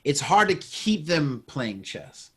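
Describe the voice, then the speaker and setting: A man's voice: fairly high pitch, slow pace, breathy edge. A man hosting a podcast interview, chatting casually and animatedly. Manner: animated, acts out quoted voices. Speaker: podcast host.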